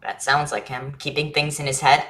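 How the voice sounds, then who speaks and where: A woman's voice: deep voice, little pitch movement, moderate pace, a bit bright. A woman playing an earnest, literal-minded schoolchild in a staged play, speaking plainly and inquisitively. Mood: subdued, wondering. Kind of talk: staged reading of a science fiction play.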